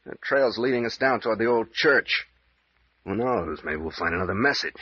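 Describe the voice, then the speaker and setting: An actor playing a gruff, bossy captain in an old radio thriller, brusque and slangy, with a dry, bold streak. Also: male voice, fairly low pitch, lively intonation, normal speed, dry recording. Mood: wry, determined. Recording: dull lo-fi old radio recording.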